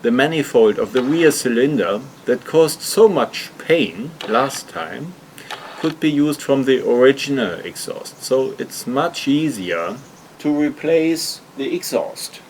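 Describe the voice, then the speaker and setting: A man hosts an explanatory hobby video at a slow pace. His phrasing is hesitant and slightly awkward. A faint layer of noise sits behind his voice. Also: mid-range voice, lively intonation, darkish tone. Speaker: video host, hobby motorcycle customizer. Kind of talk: explanatory vlog narration.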